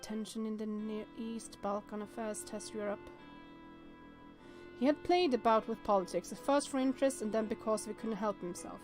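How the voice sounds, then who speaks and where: A woman hosting a let's-play of a visual novel, reading the text aloud with expressive, exclamatory reactions and the odd stumble. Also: mid-range voice, normal speed, music in the background.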